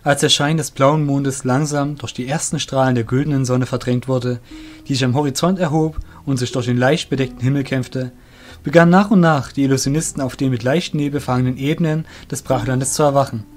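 A man's voice: mid-range voice, steady tone, a little fast. Man narrating fantasy over music. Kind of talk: scripted fantasy story narration.

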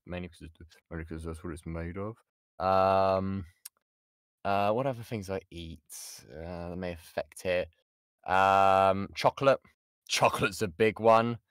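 A man's voice: low-pitched, measured pace, clear, resonant tone, no room sound. A British man giving an informal lesson as a voice actor, chatty and jokey, often interrupting himself, speaking close to the mic on an online call. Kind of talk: informal lesson with audience questions.

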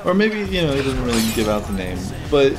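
A man's voice: mid-range voice, sing-song, a little fast. A man giving casual, unscripted commentary on video game cutscenes in a rambling, questioning, critical way. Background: game cutscene audio and music.

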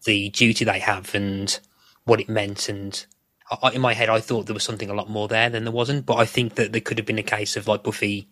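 A man's voice: on the low side, level tone, a little fast, fairly bright tone, no echo. A man giving thoughtful, circling analysis as a guest in a casual podcast discussion.

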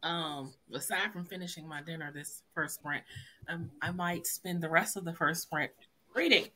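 A woman's voice: sits low, normal speed, pushed and bright, a little hiss or hum. A woman co-hosting a writing stream on a video call, joking and playfully teasing in casual chat.

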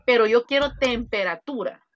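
A woman's voice: on the high side, moderate pace, background noise. A woman teaching an online English grammar class, explaining patiently and quizzing her students. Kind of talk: online grammar lesson in Spanish. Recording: dull, dry video-call audio.

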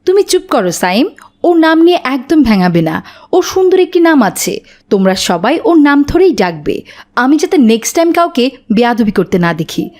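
A woman's voice: high-pitched, big pitch swings, normal speed, darkish tone. A woman voicing a firm but kindly schoolteacher in a cartoon, instructing her pupils. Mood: stern. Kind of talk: cartoon voice acting.

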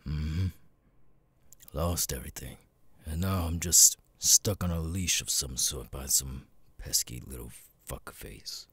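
A man voicing a gruff, jaded cat demon bartender in an ASMR roleplay, muttering and swearing wearily with hesitant pauses in a deep, gravelly rasp. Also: a bit bright, no echo, full character voice. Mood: weary, resigned.

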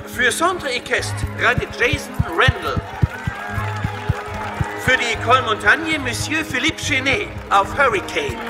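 An actor playing a formal but excitable horse-race announcer, giving sports commentary in a cartoon. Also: male voice, extremely high pitch, measured pace, music in the background.

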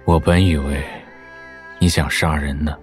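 A man playing a dry, low-key adviser to a prince in an audio drama, with a husky voice. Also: fairly even intonation, a little slow, faint music behind. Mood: wry.

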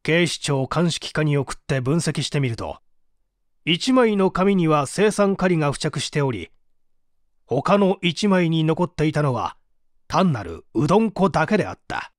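Prosecutor, male, played by a man reader: stern, methodical, formal interrogator for crime audiobook, measured delivery, dry sound. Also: fairly high pitch, big pitch swings, no room sound. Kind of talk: dramatic audiobook reading.

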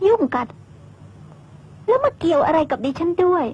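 A woman's voice: very high voice, sing-song, normal speed, a little mellow. A woman playing a wife who answers news of her husband's affair with dry, amused detachment. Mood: cool. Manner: dry and amused, with breathy laughs. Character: cool, mocking wife of a cheating husband. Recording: dull lo-fi sound.

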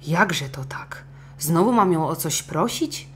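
A woman's voice: low voice, lively intonation, slow pace. A humble old fisherman from a fairy tale, acted by a woman reader. He is good-natured and henpecked, speaking meekly and earnestly, with faint noise behind. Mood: sheepish.

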